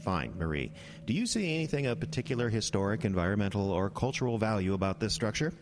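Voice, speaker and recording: An actor playing a smug, glib government bureaucrat with a sardonic, officious manner in a radio drama. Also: male voice, lowish voice, very animated, fast talker, a little hiss or hum.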